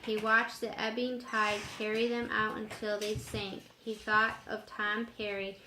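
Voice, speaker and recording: Slow-reading woman storyteller in an echoey room. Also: medium pitch, flat delivery, noisy background. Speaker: children's story reader. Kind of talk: reading a children's novel aloud.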